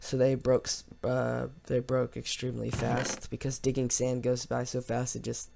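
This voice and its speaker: A man hosts a gaming video in a lower-pitched-than-nothing, ordinary mid-range voice with plenty of creak. He is slightly hoarse and talks in a casual, unscripted ramble. Faint noise sits behind him in a home recording. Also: completely flat delivery, normal speed. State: slightly hoarse after losing voice from yelling. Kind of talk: casual let's play commentary.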